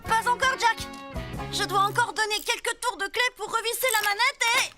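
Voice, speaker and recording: A woman's voice: very high voice, moderate pace, music in the background. A woman voicing a small, clever, modest boy pirate in a French cartoon, earnest and a little hesitant. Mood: modest, keen.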